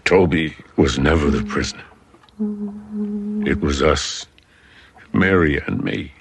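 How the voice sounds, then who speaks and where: An actor playing a weary, bitter, protective father in a television drama, speaking gravely and with intensity. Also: extremely low pitch, background noise, exaggerated voice. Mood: grim, weary. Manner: slow, grave, emotionally intense.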